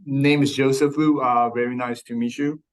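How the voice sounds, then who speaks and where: A man's voice: mid-range voice, fairly even intonation, normal speed, faint music behind. A man in sales giving a polite self-introduction on a video call, with many ums. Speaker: sales employee.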